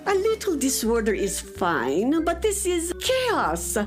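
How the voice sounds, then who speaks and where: A woman's voice: high-pitched, huge pitch swings, takes it slowly, faint music behind, exaggerated voice. A woman playing a patient but firm cat mother in a children's radio play, nagging with exasperation. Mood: exasperated.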